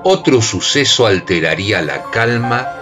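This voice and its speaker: A man narrating a radio drama in a grave, expressive storytelling voice. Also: fairly low pitch, normal speed. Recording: music bed under voice.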